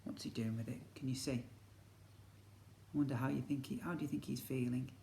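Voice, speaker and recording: A man's voice: medium pitch, steady tone, fairly quick, vocal fry, dark tone, a little hiss or hum. A man, a teacher reading a children's story expressively and breaking off to ask his young listeners questions. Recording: echoey room.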